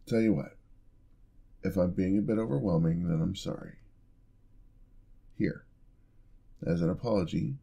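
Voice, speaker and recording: A man voicing a flirty yandere husband in an ASMR roleplay, smooth-talking and teasing with a playful menacing edge. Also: deep voice, mellow, exaggerated voice.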